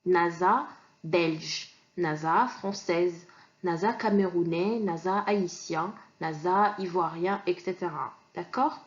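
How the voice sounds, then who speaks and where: A woman's voice: lowish voice, lo-fi sound. A woman from Kinshasa teaching an online language lesson, explaining patiently with many fillers and restarts. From Kinshasa, DR Congo.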